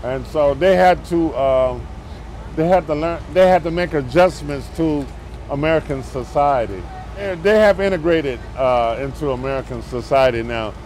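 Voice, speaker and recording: A man's voice: fairly high pitch, normal speed, a little mellow, slight background noise. A man recalling his neighborhood's past in an interview, speaking in a rambling, plain-spoken way.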